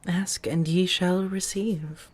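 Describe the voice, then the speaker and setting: A woman playing an affectionate, playful female vampire roommate in a soft-spoken, gently teasing ASMR roleplay. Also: lowish voice, steady tone, slow. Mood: tender, relaxed. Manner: gentle, chatty, teasing, with soft murmurs and breathy asides.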